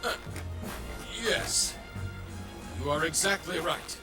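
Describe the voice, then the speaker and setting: An actor playing a pompous, easily offended noble commander in a video game dub, with theatrical delivery. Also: male voice, a little high, slow. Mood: flustered. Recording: music behind the voice.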